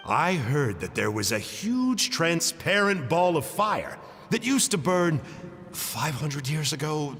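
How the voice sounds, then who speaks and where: A man's voice: on the high side, a bit bright, slightly rough, in a large hall, heavily acted. A man voicing an eager outsider in a video game, genteel and theatrical, full of exaggerated wonder. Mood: coaxing.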